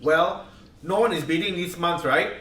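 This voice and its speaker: A man's voice: high voice, normal speed, bright, forceful tone, slight background noise. A man playing a blunt, impatient boss demanding his money in a radio play, with a Singaporean accent. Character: brusque, impatient money-collecting businessman boss. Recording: roomy, distant sound with faint music.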